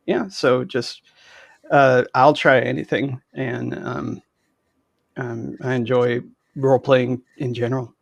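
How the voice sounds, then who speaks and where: A man's voice: medium pitch, level tone, takes it slowly, vocal fry, no room sound, muffled recording. A middle-aged man, chatting casually as a guest. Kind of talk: casual chat. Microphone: headset on a video call.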